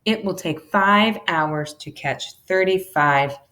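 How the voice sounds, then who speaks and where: A woman giving an animated, deliberate read-aloud of a children's educational storybook, shifting between character lines. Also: fairly low pitch. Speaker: teacher reading a children's math book aloud.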